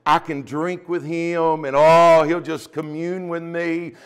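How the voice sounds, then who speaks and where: Slow, higher-voiced preacher man. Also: flat delivery, clean tone. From United States. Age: middle-aged. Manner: emphatic preaching with frequent interjected amens. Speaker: pastor. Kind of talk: Pentecostal sermon. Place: small church, at the pulpit indoors. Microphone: lapel mic.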